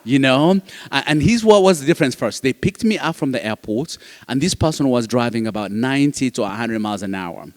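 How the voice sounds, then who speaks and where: A man with a Ugandan accent gives a personal talk as a guest speaker, his English a later language. He is emphatic, repeating phrases for weight. His mid-pitched voice rings with long hall reverb. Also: animated, brisk, hardly any pauses. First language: not English, which is a fourth language. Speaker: guest speaker, foster care advocate. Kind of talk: personal motivational talk to an audience.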